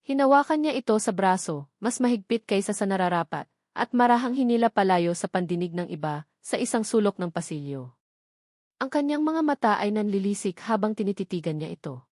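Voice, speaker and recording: A woman's voice: medium pitch, expressive, a little fast, a little mellow, no echo, clean studio sound. A woman reading a dramatic scripted story as an audiobook narrator, with an emotive storytelling delivery.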